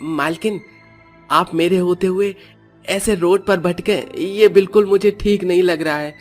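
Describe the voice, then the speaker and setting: A man's voice: high-pitched, steady tone, measured pace, soft background music. A man voicing a loyal, humble household servant in a deferential, pleading manner in a family story. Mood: respectful, caring.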